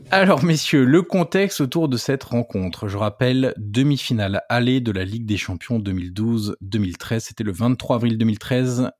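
A man's voice: mid-range voice, moderate pace, a little mellow, no room sound. Man hosting a football podcast, dry sound. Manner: lays out the episode plan in an orderly way. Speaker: podcast host.

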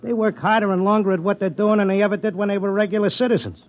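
An actor playing a stubborn, lovesick Broadway handicapper with a New York accent and slangy talk, in 1950s radio drama style. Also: male voice, high voice, fairly even intonation, racing, natural voice. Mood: stubborn, insistent. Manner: slangy, colloquial tough-guy talk. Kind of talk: 1950s radio drama acting, first-person narration and dialogue. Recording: dull vintage radio recording, music behind.

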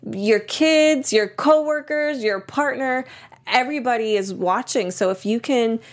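A woman's voice: fairly high pitch, measured pace. A woman from Boston with an American accent, chatting casually and telling stories with lots of "you know" and "like". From Boston, United States. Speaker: fitness trainer and TV show participant. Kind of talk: casual chatty show recap.

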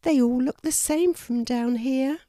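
A woman's voice: high voice, measured pace, dark tone, no echo, clean studio sound, pitched above the performer's own voice. A woman voicing a tiny, scared baby female kookaburra in a storybook, with a trembling, timid manner.

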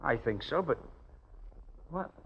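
A man's voice: mid-range voice, lively intonation, takes it slowly, creaky, slightly rough, heavily acted. An actor playing an anxious, desperate businessman in a 1940s radio drama, hesitant and stammering. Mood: uneasy, reluctant. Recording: muffled old radio recording.

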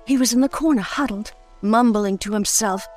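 An actress playing an anxious, genteel wife in an old-time radio melodrama, hesitant and plaintive. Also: female voice, fairly high pitch, moderate pace, a little mellow, faint music behind, natural voice. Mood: uneasy, hesitant.

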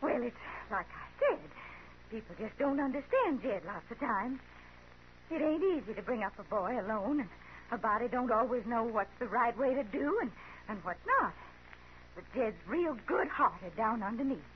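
A woman's voice: a little high, lively intonation, normal speed, lightly acted. A woman playing a talkative, meek old frontier mother in a radio Western, with a folksy rural American accent. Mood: anxious, pleading. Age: older. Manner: folksy, chatty, apologetic. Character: lonely, talkative old frontier mother, meekly devoted to her son. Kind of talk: 1950s radio Western acting. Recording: muffled, noisy 1950s radio recording.

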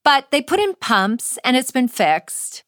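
A woman's voice: sits high, big pitch swings, slow pace, a bit bright, dry recording, clean studio sound, pitched above the performer's own voice, heavily acted. A woman reading out the lines of a reassuring, earnest female store owner in an essay.

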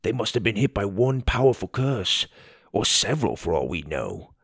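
A man voicing an excitable, cheeky young male fairy friend, drawn-out and wondering. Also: on the low side, vocal fry, breathy edge, no room sound, clean studio sound, heavily acted. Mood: grave.

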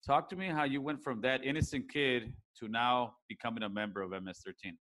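Easygoing male interviewer with halting questions. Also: medium pitch, a bit bright. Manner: frequent short affirmations, halting questions. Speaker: podcast interviewer. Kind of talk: conversational interview.

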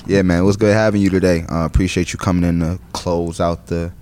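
A man's voice: sits low, quick pace. A man hosting a music podcast, asking easygoing questions and often repeating short affirmations. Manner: relaxed, repeats "cool, cool, cool". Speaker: podcast host. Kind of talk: casual interview.

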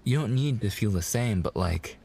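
Casting: male actor as smitten young mobster boyfriend for ASMR roleplay. Low voice, slangy, earnest, protective, haltingly sweet. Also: expressive, vocal fry, warm tone, a little hiss or hum. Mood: earnest, affectionate. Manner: slangy wiseguy talk, hesitant, earnest, reassuring. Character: smitten young mafia man, earnest, protective, gently courting his fiancée.

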